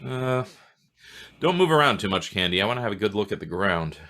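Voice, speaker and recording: A man playing a matter-of-fact homicide inspector with halting speech in a radio drama. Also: on the low side, very animated, fairly bright tone. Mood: businesslike. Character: businesslike male homicide police inspector. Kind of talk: old-time radio drama acting.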